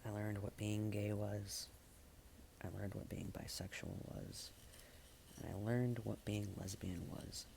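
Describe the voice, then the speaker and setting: Guy doing spoken word poetry, gravelly fry, pretty monotone, halting w big pauses and hushed line endings. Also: on the low side, slight background noise. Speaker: slam poet. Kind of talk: spoken-word slam poetry, slow and repetitive.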